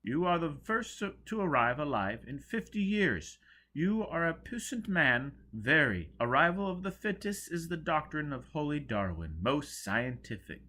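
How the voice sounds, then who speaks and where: A man voicing a solemn, zealous male leader of a science cult, declaiming loudly and ceremonially in an audiobook. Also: a little high, very animated, unhurried, voice pushed up, full character voice.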